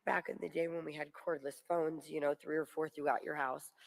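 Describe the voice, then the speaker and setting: A woman telling a family story at a memorial, her voice gravelly and fry-laden. It sits a little low. She laughs fondly as she goes. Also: fairly even intonation, brisk. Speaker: aunt speaking at a memorial.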